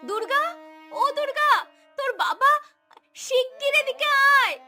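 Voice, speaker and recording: High-pitched woman narrating a cartoon story.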